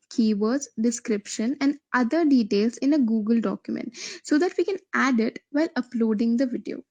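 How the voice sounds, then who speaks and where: A woman briskly reading out the rules of a video contest, listing items one by one. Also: high voice, mellow, no room sound. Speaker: contest organizer.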